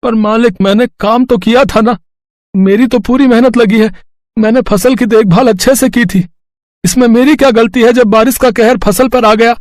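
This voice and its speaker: A man's voice: very high voice, steady tone, moderate pace, no room sound, clean studio sound. An actor playing a poor, humble young farmer who speaks respectfully to his master. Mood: pleading. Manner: pleading, respectful.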